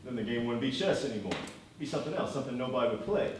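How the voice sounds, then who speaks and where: A man's voice: mid-range voice, animated, racing, close to an everyday voice. A man acting in a stage play as a loyal, worried, hard-headed bodyguard and aide, urgent and pleading in his delivery. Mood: stern, insistent. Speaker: stage actor. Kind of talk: live one-act stage play acting. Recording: very echoey stage, distant mic, faint noise.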